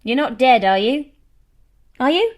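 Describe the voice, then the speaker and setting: A woman's voice: high-pitched, very animated, a little slow, noisy background. An actress playing a sly, falsely sweet young serving girl with a coy, dry delivery in an audio horror drama. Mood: urgent, scornful.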